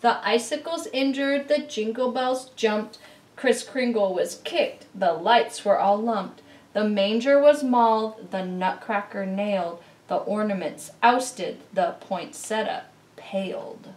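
A middle-aged woman giving an expressive, deliberate picture-book read-aloud as a children's presenter. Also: mid-range voice. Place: indoor living room beside a Christmas tree. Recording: roomy, distant camera microphone.

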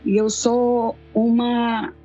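A husky-voiced woman in her forties with a Belo Horizonte accent, talking as a guest on a video-call interview. She tells her story in a thoughtful, reflective way, often pausing on fillers. There is a faint bed of music under her voice. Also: on the high side, level tone, dark tone, dull audio. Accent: Belo Horizonte Portuguese. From Belo Horizonte, Brazil. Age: 40s. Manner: thoughtful, with frequent fillers and restarts. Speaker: guest theologian.

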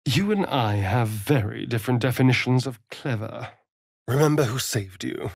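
A man's voice: mid-range voice, lively intonation, moderate pace, gravelly, dry recording, clean studio sound. A man playing a sardonic, theatrical male vampire rogue in a video game, with an arch, drawling delivery. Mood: sly, teasing. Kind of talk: video game character acting.

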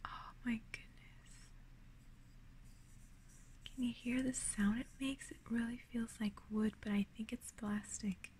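A woman hosting an ASMR unboxing, whispering breathily and gently, sounding delighted, close to the mic at a table indoors. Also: on the high side, fairly even intonation, measured pace, pauses often, slight background noise.

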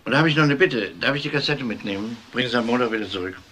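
Man acting polite methodical cop, crime radio drama, sharp voice, faint noise behind. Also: mid-range voice, rapid-fire, natural voice. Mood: businesslike. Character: dry, methodical middle-aged police detective.

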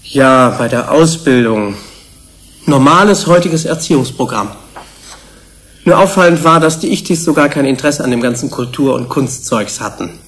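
An actor playing a calm, factual researcher in a German radio play, reporting in clipped phrases. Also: male voice, mid-range voice, normal speed, natural voice. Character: calm, factual male researcher presenting findings.

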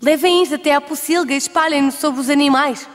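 A woman's voice: high-pitched, fairly quick, natural voice. A woman voicing a proud, seductive sorceress in a European Portuguese cartoon dub, with formal, theatrical delivery. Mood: pleading. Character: proud seductive sorceress, vengeful, then pleading. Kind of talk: dubbed cartoon acting. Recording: long hall reverb.

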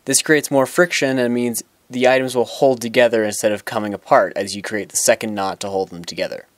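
A young man explains knots step by step in a plain, instructional voice of medium pitch. He now and then restarts a sentence mid-thought. The sound is dry and close, with little room around it. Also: steady tone, fairly quick, no echo. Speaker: knot-tying instructor. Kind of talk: step-by-step tutorial. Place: outdoors on grass.

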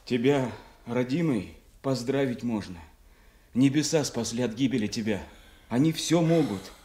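An actor plays a loyal young man in disguise in a radio tragedy. His voice swings widely in pitch, coaxing and tender with an anxious, theatrical edge. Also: animated, a little slow, dark tone, close to an everyday voice. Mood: gentle reassurance. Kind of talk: classical stage tragedy for radio.

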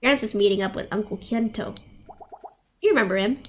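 A woman's voice: mid-range voice, brisk, a little hiss or hum. A young woman livestreaming, casually reading visual novel dialogue aloud with stumbles, rereads and offhand asides. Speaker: game streamer. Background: game music.

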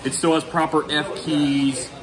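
A man's voice: on the high side, level tone, a little slow, poor audio. Enthusiastic man talking tech specs. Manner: detailed, enthusiastic technical talk. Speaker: festival visitor. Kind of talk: casual tech chat. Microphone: phone camera at arm's length. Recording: crowd chatter behind.

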